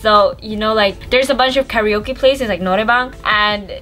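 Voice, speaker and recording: A twenty-year-old woman from Sweden co-hosting a casual chat, relaxed and full of slang and "like". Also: medium pitch, normal speed, rough recording. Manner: relaxed, trendy slang, many "like" fillers. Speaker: YouTube co-host.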